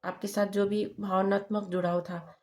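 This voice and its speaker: A woman reading tarot cards to camera, hoarse from a sore throat, speaking haltingly with many fillers. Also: mid-range voice, little pitch movement, a little mellow. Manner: slow, halting, many fillers and tag questions. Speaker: tarot reader.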